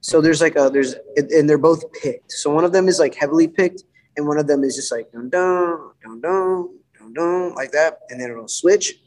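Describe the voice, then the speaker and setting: A young man with a slightly high-pitched voice talks loosely about his own songs. He rambles, leans on fillers and hums guitar lines to show how they sound. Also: normal speed, a little mellow, rough recording. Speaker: musician guest discussing his album. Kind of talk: casual podcast chat.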